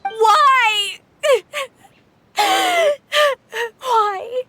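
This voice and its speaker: A woman's voice: very high voice, sing-song, extremely slow, sharp tone, a little hiss or hum, exaggerated voice. A woman playing a bitter, defiant young orphan cornered as a traitor, confrontational and emphatic, in a video game. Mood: anguished. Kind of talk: emotional video game acting.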